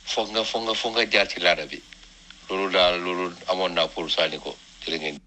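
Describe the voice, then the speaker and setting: A man's voice: sits low, steady tone, brisk, pushed and bright, a little hiss or hum, poor audio. A man sharing his take informally in a group discussion. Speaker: discussion participant. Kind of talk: casual spoken opinion on a topic.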